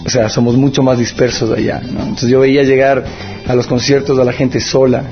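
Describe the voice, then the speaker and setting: A man from Ecuador, a rock musician, talks in a reflective, conversational way. Clear guitar music plays under his voice, and the audio is a little dull. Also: medium pitch, flat delivery, fairly quick. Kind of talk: recorded interview clip.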